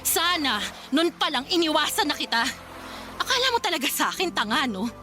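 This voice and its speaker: A woman's voice: high-pitched, sing-song, a little fast, bright, forceful tone, heavily acted. A woman playing a fiery, confrontational betrayed girlfriend in a melodramatic radio drama, scolding shrilly and emphatically. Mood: hurt, furious. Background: background music.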